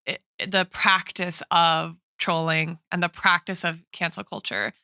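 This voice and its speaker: A woman's voice: fairly low pitch, little pitch movement, measured pace, bright, forceful tone, clean tone, dry recording, clean studio sound. A woman co-hosting a podcast, reasoning aloud in a conversational, academic way and often phrasing her points tentatively. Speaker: podcast co-host. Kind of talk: analytical conversational discussion.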